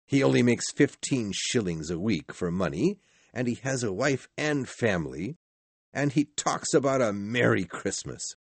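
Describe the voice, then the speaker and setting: A man's voice: medium pitch, sing-song, a little slow, gravelly, breathy edge, no echo, pitched above the performer's own voice, exaggerated voice. Guy doing a stingy grumpy old man from a storybook, snappy and scornful, really swingy delivery, close dry sound. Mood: irritated, dismissive. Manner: curt, scornful, irritable. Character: grumpy, miserly old businessman who scorns holidays. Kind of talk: storybook character voice.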